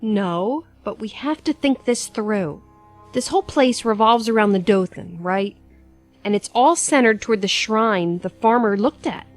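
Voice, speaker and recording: A woman's voice: medium pitch, unhurried, soft background music, close to an everyday voice. A woman playing a practical young adventurer in an audio drama, reasoning in a matter-of-fact way. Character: level-headed, practical young female adventurer.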